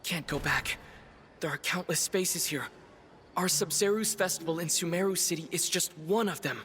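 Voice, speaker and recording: A man playing an earnest, observant young adventurer in a video game, reporting in a measured, serious way. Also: a little high, pushed and bright. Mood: grave, puzzled. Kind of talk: video game character dialogue.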